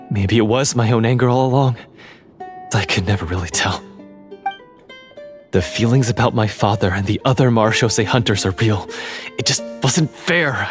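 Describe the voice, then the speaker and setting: An actor playing a troubled young man, the son of a hunter, speaking hesitantly and haltingly in a game cutscene. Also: medium pitch, lightly acted. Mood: remorseful. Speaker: game character. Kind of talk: video game dialogue acting.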